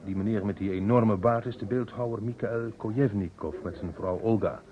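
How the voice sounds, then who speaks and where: An actor playing a jovial, wry globetrotting journalist in a vintage Dutch radio play, chatting away. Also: male voice, fairly low pitch, moderate pace, close to an everyday voice. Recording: dull, noisy old radio recording.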